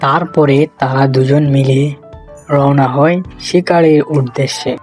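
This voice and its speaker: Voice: medium pitch, fairly even intonation, unhurried, darkish tone, faint music behind. A storyteller giving scripted narration for a cartoon animal tale.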